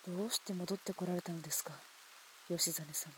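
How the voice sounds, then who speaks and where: Woman acting hushed, guarded period heroine. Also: on the low side, fairly even intonation, fairly quick, breathy edge, poor audio. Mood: wary. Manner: hushed, formal, wary. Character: guarded, wounded, softly spoken Japanese woman of old. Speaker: actor in amateur radio drama. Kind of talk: restrained period radio drama acting. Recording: hiss and rain-like background noise.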